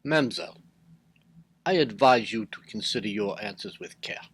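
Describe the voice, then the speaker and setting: A man's voice: on the low side, lively intonation, normal speed, fairly bright tone, husky. A man playing a courtly, shrewd Belgian detective with a French-speaking Belgian accent, formal and hesitant, in a radio mystery style. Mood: shrewd, pressing. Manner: formal, hesitant, punctuating sentences with questioning tags. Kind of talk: old-time radio mystery acting.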